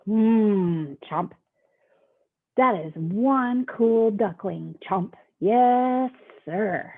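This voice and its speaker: A woman voicing an excitable male storybook pigeon who keeps begging for things, with dramatic, drawn-out whines. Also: mid-range voice, exaggerated voice. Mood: delighted. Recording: tinny phone-like sound.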